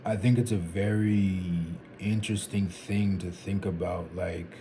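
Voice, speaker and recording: A man in his twenties thinking out loud in a casual, rambling vlog, restarting sentences and dropping "like" and "bro". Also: low-pitched, little pitch movement, a little slow, noisy background. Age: 20s. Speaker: vlogger. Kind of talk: casual reflective monologue.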